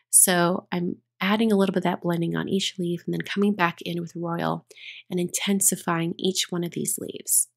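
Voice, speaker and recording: A woman recording a relaxed, chatty voiceover for a card-making tutorial, her voice a little rough after being sick, with frequent ums and restarts. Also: on the low side. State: recovering from illness, voice a bit rough.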